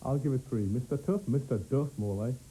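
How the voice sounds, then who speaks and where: A man's voice: medium pitch, brisk, warm tone, a little hiss or hum, low-quality recording. A man reviewing a game in a dry, dismissive way.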